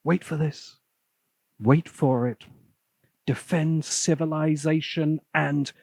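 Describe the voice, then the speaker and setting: Male video essayist, highly animated delivery, measured pace with dramatic pauses, sardonic edge. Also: slightly rough, dry recording. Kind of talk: scripted video essay narration.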